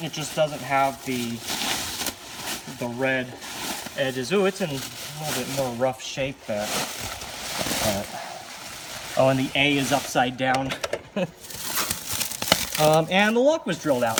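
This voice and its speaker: A man giving casual, unscripted hands-on commentary, thinking aloud informally as he works. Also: mid-range voice. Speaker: hobbyist video host. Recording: loud ambient noise around voice.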